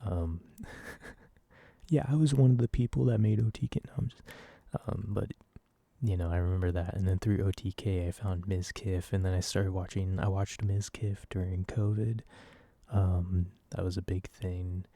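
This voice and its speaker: A man in his early twenties rambling softly and casually in an ASMR style, with a self-deprecating manner. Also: deep voice, steady tone, moderate pace, gravelly, no echo. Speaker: ASMR video creator. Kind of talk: soft-spoken rambling ASMR.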